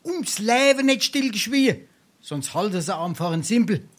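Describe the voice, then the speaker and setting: An actor playing a brazen, silver-tongued sponger and drinker in a Darmstadt dialect stage comedy, speaking South Hessian with mock-pompous flourishes. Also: male voice, high-pitched, lively intonation, moderate pace. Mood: wheedling, boastful. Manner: florid mock-pompous speech, theatrical sighs.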